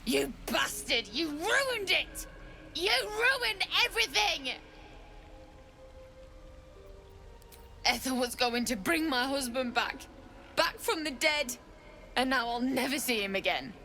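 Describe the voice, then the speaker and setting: An actress playing a desperate, grieving young widow in a video game, anguished and emotional. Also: female voice, high-pitched, expressive, a little slow, bright, forceful tone, soft background music, full character voice. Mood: anguished anger.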